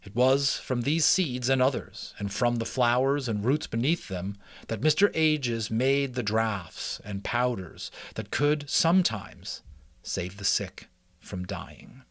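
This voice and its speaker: A man narrating a children's novel as a dramatic, expressive audiobook reading. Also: medium pitch, takes it slowly, no room sound. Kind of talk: dramatic children's book reading with chatty asides.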